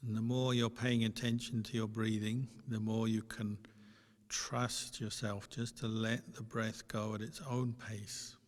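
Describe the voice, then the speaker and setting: A man teaching as a meditation guide, giving gentle, unhurried instructions with long pauses between short phrases. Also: on the low side, little pitch movement. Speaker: Buddhist monk and meditation teacher. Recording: long hall reverb.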